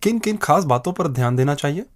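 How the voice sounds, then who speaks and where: A man's voice: a little high, animated, brisk. A man asking scripted, formal questions as an interviewer.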